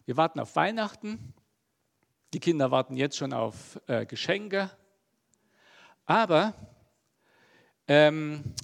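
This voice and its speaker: A middle-aged male preacher with a gravelly, fry-edged voice that sits a little high. He talks in a loose, chatty way with frequent fillers, more like a conversation than a formal sermon. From East Germany. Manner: relaxed, chatty, many fillers.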